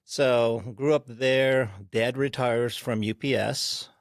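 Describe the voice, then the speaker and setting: A man in his sixties, a podcast guest, recalling his childhood in an unhurried way with frequent pauses. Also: mid-range voice, steady tone, no room sound, clean studio sound. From Korea. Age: 60s. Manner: unhurried, pausing with ums while recalling. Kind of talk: storytelling interview.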